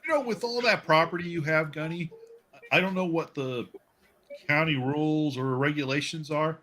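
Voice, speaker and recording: A man hosting a casual livestream chat on a video call, talking in a halting, rambling and jokey way. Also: fairly high pitch, pushed and bright. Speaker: livestream host. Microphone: video call stream.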